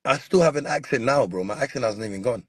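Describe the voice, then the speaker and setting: A man with a husky voice hosting a casual podcast chat, pressing his guests with the same question again and again. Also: mid-range voice, fast talker, no echo, clean studio sound. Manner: animated, repeats questions insistently. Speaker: podcast host.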